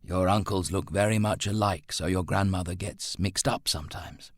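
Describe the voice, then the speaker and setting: A man acts out a gentlemanly father in a period storybook. His voice sits low and close. He speaks in clipped old-fashioned phrases, stern but patient, and easily flustered. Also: steady tone, normal speed, dry recording.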